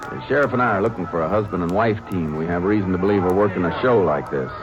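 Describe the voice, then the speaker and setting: An actor playing a steady, dry-witted male Texas Ranger who narrates and talks in a calm, plain-spoken way, in an old-time radio drama. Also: sits low, quick pace, close to an everyday voice. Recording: muffled old-time radio recording with music.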